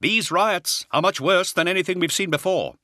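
A man voicing a wiry male army colonel who reports in a loud, strained voice. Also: high voice, normal speed, no echo, clean studio sound, voice pushed up. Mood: urgent. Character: urgent male soldier colonel, wiry, shouting.

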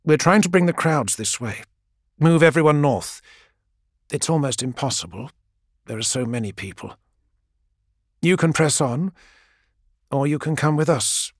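Male narrator as a resigned, quiet woman leader; lively pitch, dry recording. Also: measured pace, pauses often, dry recording. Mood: weary. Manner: calm, quiet, weary.

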